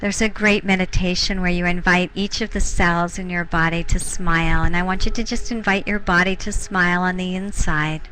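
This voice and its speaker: A woman leading a guided meditation as a teacher, speaking in soothing, unhurried short phrases with pauses. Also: lowish voice, fairly even intonation, bright, forceful tone, a little hiss or hum, poor audio. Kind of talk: guided meditation, softly instructing.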